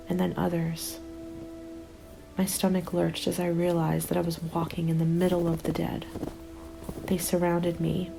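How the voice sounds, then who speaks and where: A woman playing a weary, despairing zombie apocalypse survivor in a scripted ASMR roleplay, hushed and often slipping into a whisper. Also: on the low side, gravelly, rough recording. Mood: tense, fearful. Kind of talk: ASMR roleplay, scripted first-person narration. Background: soft music bed.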